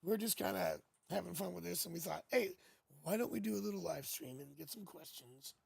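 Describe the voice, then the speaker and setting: Raspy, gravelly man on a live stream. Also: high voice, brisk, darkish tone, no room sound. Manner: playful and joking, chatty. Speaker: tarot reader hosting a live stream. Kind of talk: casual live-stream chat. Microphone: new studio microphone.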